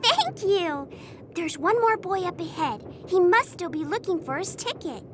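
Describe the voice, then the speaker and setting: A performer voicing a friendly, trusting child in a video game, eager and expressive. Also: very high-pitched, a little slow, full character voice. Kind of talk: video game character acting. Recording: faint train noise.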